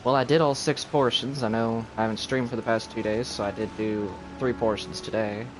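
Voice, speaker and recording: A man's voice: medium pitch, fairly even intonation, a little fast, over music, poor audio. A man giving casual live commentary as he streams a video game.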